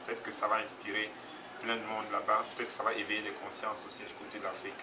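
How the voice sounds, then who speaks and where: A man's voice: lowish voice, little pitch movement, quick pace. A man from Cameroon, giving a spontaneous, earnest public comment as an audience member, hesitant, with many fillers and restarts. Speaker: audience member praising a documentary. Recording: distant mic with crowd noise.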